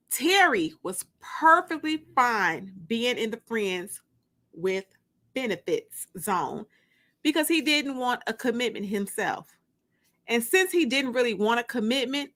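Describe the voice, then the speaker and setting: A woman giving an expressive, dramatic first-person reading of fiction, like an audiobook. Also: fairly high pitch. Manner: expressive, acts out dialogue. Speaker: narrator reading fiction aloud.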